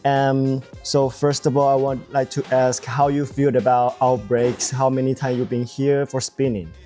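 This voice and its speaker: Male interviewer, mellow dark voice, halting English, faint music behind. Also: mid-range voice, little pitch movement. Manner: halting non-native English. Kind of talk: casual interview questions.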